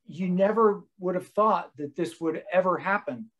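American man, halting casual talker. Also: high-pitched, fairly bright tone. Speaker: host and touring musician. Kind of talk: casual video-call chat. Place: room at home with bookshelves.

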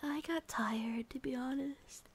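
A woman playing a sleepy, affectionate young schoolgirl girlfriend in an ASMR roleplay, speaking softly and breathily, often near a whisper. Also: high-pitched, slow, heavily acted. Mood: tender, tired. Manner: soft, breathy, often whispering, with sighs and giggles.

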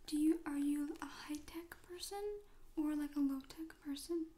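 A young woman speaking in a breathy, mostly whispered ASMR style, gently and informally asking questions as if interviewing a friend. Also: high voice, fairly even intonation, normal speed. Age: young adult. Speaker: ASMR host. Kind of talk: soft-spoken ASMR friend interview roleplay. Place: small room at home, indoors.

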